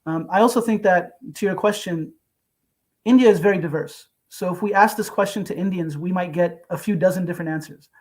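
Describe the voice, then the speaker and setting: A young man, a co-author on a panel, who answers questions in a measured and reflective way. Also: sits high, a little mellow. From United States. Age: young adult. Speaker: co-author, guest.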